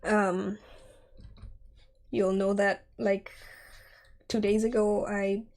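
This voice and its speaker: A woman's voice: mid-range voice, level tone, drawn-out words, a little mellow. A young woman in her twenties streaming while she sculpts, chatty and giggly as she answers chat, her voice scratchy from a cold. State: scratchy from a sore throat and cold. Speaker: streamer, 3D artist.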